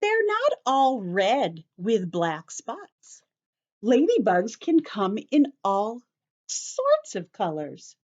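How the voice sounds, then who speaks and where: A woman reads and explains to young children as a story-time teacher. Her pace is slow and deliberate, and her pitch moves in big animated swings. She keeps her phrases short and simple, stressing key words. The recording sounds slightly dull and lo-fi. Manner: simple short phrases, addressing children directly, careful emphasis. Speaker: children's teacher reading a picture book.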